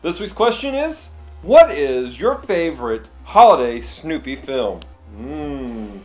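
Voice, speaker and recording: A man gives a relaxed, upbeat sign-off for a home video channel, reminding viewers to subscribe and comment. His pitch swings widely from phrase to phrase, lively and animated. Music plays faintly beneath him. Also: slow. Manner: laid-back, upbeat promo patter. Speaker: co-host.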